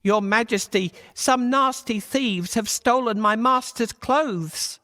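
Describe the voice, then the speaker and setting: A man's voice: very high-pitched, slow, sharp tone, dry recording, clean studio sound, pitched above the performer's own voice, heavily acted. A man voicing a clever, scheming cat servant with courtly, theatrical manners in a children's storybook. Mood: earnest. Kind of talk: animated children's storybook read-aloud.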